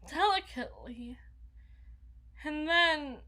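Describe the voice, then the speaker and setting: A woman's voice: sits high, animated, slow, pushed and bright, exaggerated voice. A woman playing a flustered, needy, socially awkward young vampire in an intimate ASMR roleplay, stammering and slipping into whispers. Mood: musing, coaxing. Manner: stammering, breathy asides, dropping into whispers.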